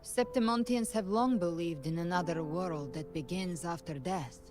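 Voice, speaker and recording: Actress as a low-voiced, solemn young warrior woman. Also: close to an everyday voice. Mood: grave. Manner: solemn, formal, measured. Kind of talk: scripted video game dialogue. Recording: game audio under loud music.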